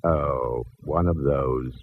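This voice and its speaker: An actor playing a florid, tipsy photographer sidekick with pompous, flowery delivery in a 1940s radio comedy manner. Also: male voice, a bass voice, extremely slow, exaggerated voice. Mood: theatrical. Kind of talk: 1940s radio comic acting. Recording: dull, noisy 1940s radio recording.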